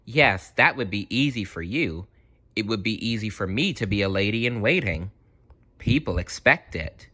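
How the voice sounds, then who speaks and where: A man's voice: on the low side, lively intonation, moderate pace, pushed and bright. A man voicing a brave, kind young girl knight in a storybook, speaking earnestly and frankly. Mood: encouraging. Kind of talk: storybook character voice.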